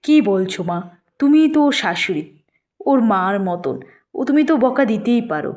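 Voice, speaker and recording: A woman's voice: medium pitch, sing-song, moderate pace, a little mellow, close to an everyday voice. A woman voicing an earnest, troubled young husband and dutiful son in a cartoon. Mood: concerned.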